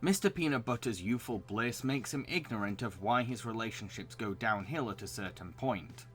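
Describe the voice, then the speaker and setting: A man's voice: lowish voice, normal speed, faint music behind. A man reading scripted narration as a video reviewer.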